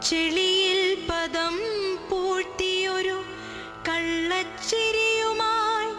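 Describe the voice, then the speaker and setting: A very high voice of a wistful daughter, singing nostalgic verse extremely slowly. Musical accompaniment carries beneath her. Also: steady tone, music in the background, full character voice. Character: wistful grown daughter longing for childhood.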